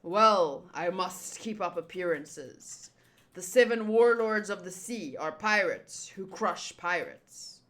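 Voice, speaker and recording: Woman as grandiose pirate warlord, lowered voice, smug and haughty villain for a manga reading. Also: animated, slow, a bit bright. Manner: haughty, self-important.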